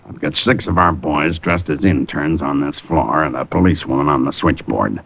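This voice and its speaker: An actor playing a gruff, no-nonsense police lieutenant in a 1950s radio drama, brisk and matter-of-fact. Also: male voice, rumbling low. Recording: muffled old radio recording with faint hiss.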